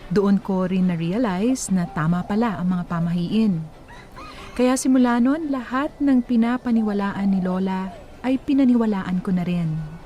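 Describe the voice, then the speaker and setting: A woman acting a reflective adult narrator recounting her life story in a radio drama, with a Filipino accent and a measured manner. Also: mid-range voice, warm tone, close to an everyday voice. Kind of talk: scripted first-person drama narration.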